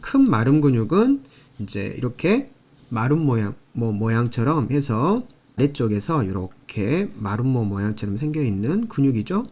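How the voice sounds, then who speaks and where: A man's voice: mid-range voice, sing-song, normal speed, a little mellow. A man giving an explanatory anatomy lecture, repeating key terms and going through things step by step. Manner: repetitive, step-by-step teaching with frequent emphasis. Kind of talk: explanatory lecture, drawing and working through review questions.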